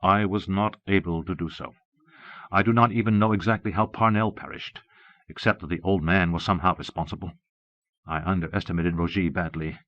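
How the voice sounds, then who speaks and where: A man's voice: low-pitched, fairly even intonation, brisk. A man voicing a scheming, possessive disembodied evil mastermind in an audiobook, commanding, grandiose and darkly doting. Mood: brooding. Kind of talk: audiobook character voice. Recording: muffled old cassette audiobook.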